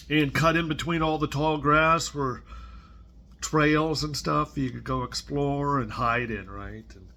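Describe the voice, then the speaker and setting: Slow-paced older man reading to a child. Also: medium pitch. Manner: gentle, conversational, explaining simply to a child. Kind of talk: casual read-aloud storytelling for a child.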